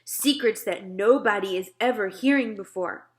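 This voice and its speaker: A woman reading aloud puts on a gentle storybook giant, a dreamy old fellow who guards his secrets. He talks in muddled grammar full of whimsical invented words, leaning heavily on them. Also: medium pitch, normal speed, close to an everyday voice. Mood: suspicious, grumbling. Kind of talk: children's storybook read-aloud.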